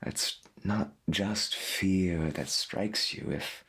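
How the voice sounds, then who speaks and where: Man playing a deep breathy late-night radio host. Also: fairly bright tone, exaggerated voice. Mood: wistful, awed. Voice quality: breathy, gravelly. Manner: musing, florid, halting with long pauses. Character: veteran male late-night paranormal radio host, calm and wonderstruck. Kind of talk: soft-spoken ASMR roleplay of 1990s AM radio.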